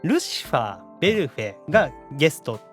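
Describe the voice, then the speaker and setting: Man, Kansai accent, lively pitch swings, playful podcast host, casual banter, faint music behind. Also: fairly quick. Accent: Kansai Japanese. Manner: playful, mock-protesting reactions. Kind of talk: casual radio-show banter, reading listener messages.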